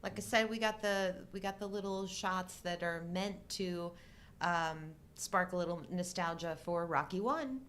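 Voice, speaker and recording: Low-voiced middle-aged woman, casual co-host. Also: a little slow, fairly bright tone. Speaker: co-host of a live show. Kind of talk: casual chat about films. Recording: faint background noise.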